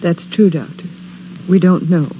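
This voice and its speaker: A woman's voice: on the low side, unhurried, close to an everyday voice. An actress playing a sensible, anxious young woman, speaking earnestly and emphatically in 1940s radio drama style. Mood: subdued, earnest. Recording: muffled, noisy old radio recording.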